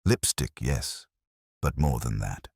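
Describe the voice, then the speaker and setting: A man reading a mystery story as a scripted narration, in a measured, literary storytelling manner. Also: a bass voice, a little mellow, husky, no echo, studio quality. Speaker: audiobook narrator.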